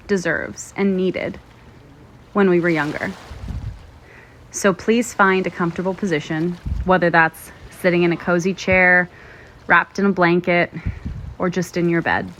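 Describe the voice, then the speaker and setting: Slow, low-voiced woman guiding meditation. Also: slight background noise, low-quality recording. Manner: gentle, soothing, measured delivery with frequent pauses. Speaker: guided meditation host. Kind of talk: slow guided meditation narration.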